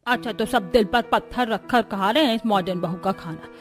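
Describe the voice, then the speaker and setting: A woman's voice: mid-range voice, normal speed, faint music behind, lightly acted. A woman voicing a stern, traditional old grandmother-in-law who scolds in disapproval, in a cartoon family drama.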